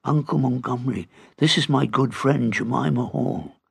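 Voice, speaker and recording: A man voicing a cheeky, excitable teenage schoolboy who teases his friend. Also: medium pitch, normal speed, gravelly, husky, pitched above the performer's own voice. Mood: friendly. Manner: teasing, excitable. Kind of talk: audiobook character reading.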